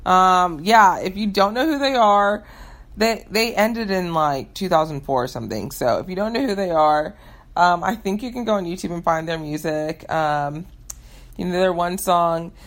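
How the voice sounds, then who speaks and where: A woman's voice: on the low side, animated, normal speed. A young American woman hosting a casual, upbeat question-and-answer show, chatting informally to listeners. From United States. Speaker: host of a Q&A show.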